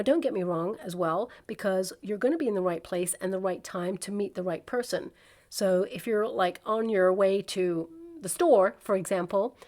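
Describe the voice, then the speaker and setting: A woman talks her viewers through a tarot spread. She sounds chatty and reassuring, often pausing to check in with them. Her voice sits at an ordinary middle pitch for a woman. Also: a little mellow. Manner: chatty and reassuring, frequent "okay?" asides. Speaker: tarot reader. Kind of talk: casual guided tarot reading.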